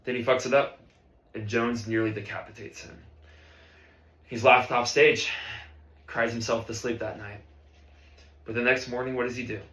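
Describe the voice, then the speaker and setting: A ruthless, foul-mouthed male band conductor played by a man in a film drama scene. His voice is forceful and cutting, built for interrogation and abuse. The middle-pitched delivery rings in an echoey room. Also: steady tone, moderate pace, long pauses, lightly acted. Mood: intense, reflective. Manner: barking, profane, interrogating. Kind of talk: dramatic monologue performance of a film script.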